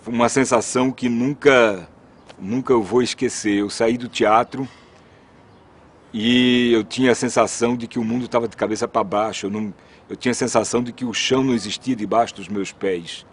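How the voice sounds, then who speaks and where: Um homem contando uma lembrança pessoal numa entrevista, de jeito sincero, às vezes repetindo palavras. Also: male voice, mid-range voice, fairly even intonation, moderate pace, husky. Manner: earnest, stumbles on repeated words. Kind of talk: personal recollection in interview.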